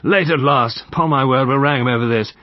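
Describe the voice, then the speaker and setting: A man voicing a genial, bluff elderly soldierly gentleman with an upper-class English accent in an audiobook. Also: mid-range voice, brisk, dry recording, muffled recording, pitched above the performer's own voice, close to an everyday voice. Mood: jovial. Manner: hearty, bluff.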